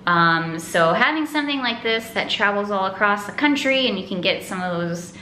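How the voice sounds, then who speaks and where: A woman's voice: mid-range voice, animated, normal speed, sharp tone. A young adult American woman chatting casually and enthusiastically to viewers as a vlog host, recorded at home. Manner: upbeat, enthusiastic. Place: indoors at home, open room with kitchen. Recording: echoey room, faint background noise.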